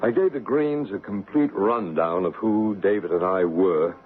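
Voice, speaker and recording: Man acting as calm ghost investigator, low voice, courteous and measured, reassuring, old radio play, thin phone-like sound. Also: faint music behind, close to an everyday voice. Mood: measured, assured. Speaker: paranormal investigator and narrator. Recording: band-limited, noisy old radio recording.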